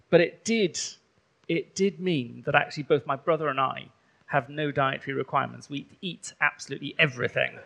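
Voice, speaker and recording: A bishop from rural Wales, a native Welsh speaker, preaches in a relaxed, conversational way in a church, often pausing on ums and uhs. His fairly high voice carries a gravelly edge. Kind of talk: sermon.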